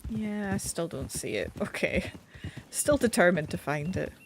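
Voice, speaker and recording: Chatty woman streamer, slightly low voice. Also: animated, a little slow, background noise. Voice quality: slightly nasal. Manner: chatty, self-deprecating, rambling. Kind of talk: casual gameplay commentary. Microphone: desk mic set back a little.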